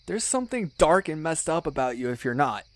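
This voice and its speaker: A man's voice: a little high, big pitch swings, fairly quick, dry recording. A young man playing a teasing, loyal best friend in a one-sided casual roleplay, chatty and slangy with little hesitations. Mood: animated, incredulous.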